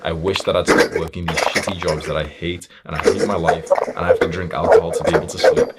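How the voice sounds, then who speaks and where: Low-voiced blunt young man, motivational talk. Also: steady tone, fast, over loud music. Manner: blunt, stern, emphatic, swearing. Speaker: self-improvement coach.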